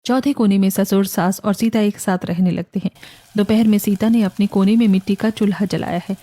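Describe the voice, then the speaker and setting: Mellow Indian woman narrating a story. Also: mid-range voice, steady tone, a little fast. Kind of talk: scripted storytelling narration for an animated family drama.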